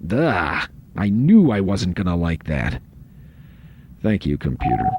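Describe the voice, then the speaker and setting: An actor playing a busy, wry starship first officer in a science fiction audio drama, dry and authoritative. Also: male voice, low-pitched, wildly swooping pitch, slow, exaggerated voice. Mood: self-reproachful. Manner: dry, sardonic, muttering to himself. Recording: dull lo-fi sound with faint noise.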